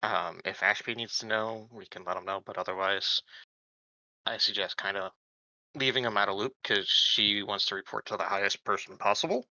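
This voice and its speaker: A man's voice: on the low side, fairly even intonation, normal speed, sharp tone, no echo, highs cut off. A man taking part in a tabletop roleplaying game, discussing his moves in casual talk.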